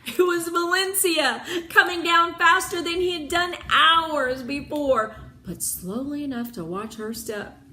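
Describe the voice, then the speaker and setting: A woman narrates a children's novel with a bright, forward tone. Her voice sits fairly high and she plays up the suspense with pauses. There is some background noise on the recording. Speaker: read-aloud narrator of children's books. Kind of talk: expressive storybook read-aloud.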